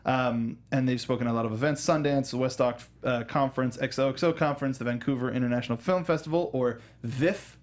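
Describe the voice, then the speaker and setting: Male panel host, mid-pitched, chatty and enthusiastic, thinks out loud with frequent false starts. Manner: halting, often restarts sentences mid-thought; enthusiastic fan talk. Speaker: host of a film review show. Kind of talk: casual panel discussion of films and games.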